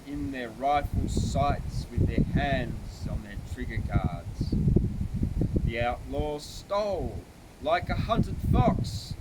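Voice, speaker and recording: A man reciting a bush ballad in a theatrical, measured way with emphatic phrasing. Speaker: poetry reader. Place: outdoors at a cave site. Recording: very noisy, clicks, vehicle-like rumble.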